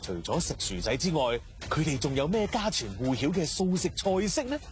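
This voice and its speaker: A man's voice: on the high side, sing-song, a little fast, background noise. A man with a Hong Kong Cantonese accent, presenting and narrating a scripted documentary. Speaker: host and narrator. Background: music.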